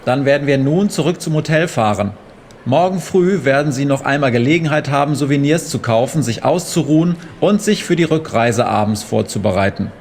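An actor plays a tour group leader in an audio drama. His medium-pitched male voice is polite and formal, as if addressing a group. Faint ambience is heard behind him. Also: animated, fairly quick, a little hiss or hum, close to an everyday voice.

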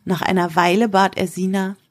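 A woman narrates a scripted audio drama. Her voice is medium in pitch, reading prose as a storyteller between the characters' lines. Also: flat delivery, normal speed.

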